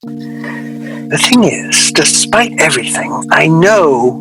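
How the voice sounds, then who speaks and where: An actor playing a wistful, aggrieved man who reminisces in a reflective, measured way, as if interviewed for a radio drama. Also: fairly high pitch, sing-song, gravelly, heavily acted, poor audio. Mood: regretful. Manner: reflective, measured, rhetorical questioning. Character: wistful, aggrieved man recalling a soured musical collaboration. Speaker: interview guest. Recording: music playing behind the voice. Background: music.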